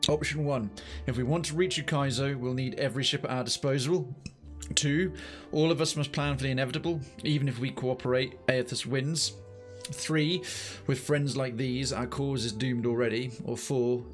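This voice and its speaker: Male streamer, mid-pitched, reads game text aloud and thinks out loud, faint game music underneath. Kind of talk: reading game narration aloud and commenting.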